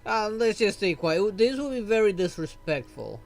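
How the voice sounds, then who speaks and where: A man's voice: high-pitched, big pitch swings, moderate pace, vocal fry. A man streaming a game, chatting casually with wry asides. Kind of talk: casual gameplay commentary. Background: faint game music.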